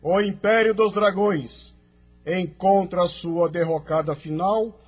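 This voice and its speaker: A man's voice: sits high, slow pace, soft background music. A man playing a solemn, benevolent spirit emissary in an audio drama, speaking in a formal, exhorting way.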